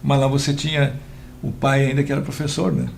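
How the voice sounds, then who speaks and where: A man's voice: medium pitch, completely flat delivery, a little fast, darkish tone. A man hosting a casual interview, asking short questions and murmuring as he listens. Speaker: interview host. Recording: clear background noise.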